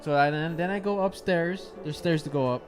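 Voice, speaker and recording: A young man telling a loud, swearing story about a video game match. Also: a little high, fairly quick. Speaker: podcast co-host. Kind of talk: casual gaming chat. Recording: music playing over the talk.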